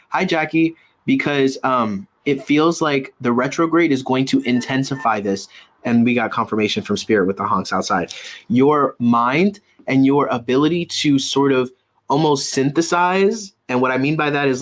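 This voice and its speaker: A man giving a casual, intuitive tarot reading, halting and circling back to repeat his phrases. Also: medium pitch. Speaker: tarot reader and medium, host.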